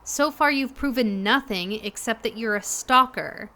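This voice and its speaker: Casting: defiant young female passenger, fairly high voice, wary and confrontational, audio drama. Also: unhurried, bright, forceful tone. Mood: angry, dismissive. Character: weary, distrustful, defiant young woman passenger.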